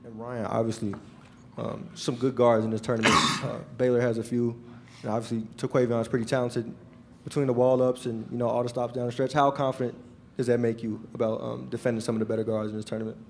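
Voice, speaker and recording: A man, a reporter, asking long, halting questions full of fillers at a press conference. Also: medium pitch, flat delivery, gravelly, a little mellow, slight background noise.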